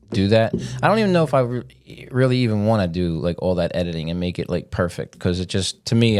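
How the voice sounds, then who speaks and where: A man's voice: low-pitched, background noise. A man in his forties, stuffed up and sniffling, hosting a casual, rambling solo podcast and telling stories with lots of fillers. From Philadelphia area. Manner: rambling, loose storytelling with many fillers. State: congested, sniffling. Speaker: podcast host. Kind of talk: casual monologue.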